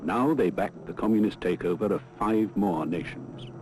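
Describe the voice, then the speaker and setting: A man's voice: low voice, normal speed, darkish tone. A British man delivering scripted narration for a documentary film. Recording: noisy old film soundtrack.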